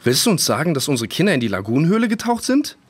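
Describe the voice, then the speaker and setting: An actor playing a calm but worried father in a children's audio drama. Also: fairly high pitch, racing, husky, no room sound. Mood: calm, concerned.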